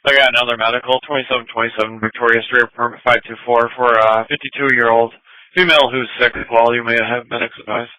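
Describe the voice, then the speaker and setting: A man's voice: mid-range voice, fairly even intonation, fast, dry recording, dull audio. A man working as a police dispatcher, giving procedural calls and addresses over the radio. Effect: police radio transmission. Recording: dry sharp dispatch radio audio.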